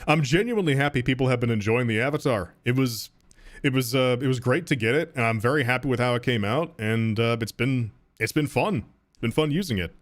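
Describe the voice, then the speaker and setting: A man's voice: medium pitch, fairly quick. Rambling easygoing male streamer. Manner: rambling, restarts and fillers, laughs often. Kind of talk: casual gaming stream chat, reading donations.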